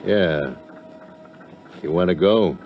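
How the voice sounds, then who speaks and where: Very deep, laconic sailor, vintage radio. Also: male voice, takes it slowly. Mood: offhand, wry. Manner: clipped, casual, slangy. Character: laconic, tough waterfront sailor recruiting hands. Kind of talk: 1950s radio drama acting. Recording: muffled vintage radio recording, music behind.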